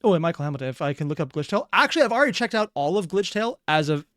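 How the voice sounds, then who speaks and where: Casting: male reaction host, fairly high smooth voice, wry, analytical, conversational. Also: animated, rapid-fire, fairly bright tone, no room sound, studio quality. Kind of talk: casual off-the-cuff reaction commentary.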